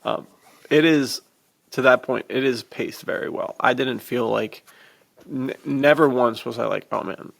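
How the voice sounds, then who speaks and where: A man's voice: medium pitch, a little slow, vocal fry, a bit bright. A man co-hosting a podcast, talking casually in an informal, emphatic way. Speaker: podcast co-host. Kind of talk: casual chat.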